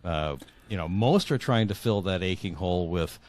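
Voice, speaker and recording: A man in his sixties speaking as a guest author in a reflective interview, earnest and halting, often repeating words as he searches for phrasing. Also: low-pitched, lively intonation, darkish tone. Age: 60s.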